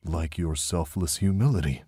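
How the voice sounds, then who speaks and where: Casting: man to play wise, regretful middle-aged monk for a visual novel; very low, raspy register, gentle reflective storytelling. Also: normal speed, no echo, studio quality. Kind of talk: fan visual novel voice acting.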